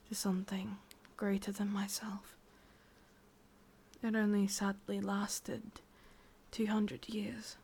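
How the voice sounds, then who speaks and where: A woman with an English accent voicing an ancient, possessive, wistful witch in a slow, intimate, breathy ASMR whisper. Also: mid-range voice, darkish tone. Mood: wistful, tender. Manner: slow, intimate, musing, with lingering pauses. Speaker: ASMR roleplay performer. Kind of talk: whispered ASMR roleplay monologue.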